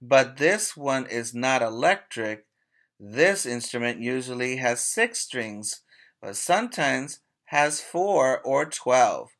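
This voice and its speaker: Slow, bright-voiced male teacher reading aloud. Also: medium pitch, lively intonation. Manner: deliberate, emphatic, pausing to ask questions. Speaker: preschool teacher. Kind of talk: read-aloud lesson for young children.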